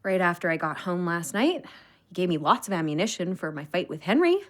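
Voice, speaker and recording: A woman's voice: on the low side, big pitch swings, brisk. A woman playing a hurt, anxious, self-blaming young woman in an audio drama, emotional and fretful. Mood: upset.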